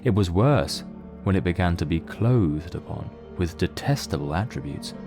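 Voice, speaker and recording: A man narrating a literary mystery as scripted audio drama, in a measured, solemn storytelling manner. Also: deep voice, lively intonation, over music.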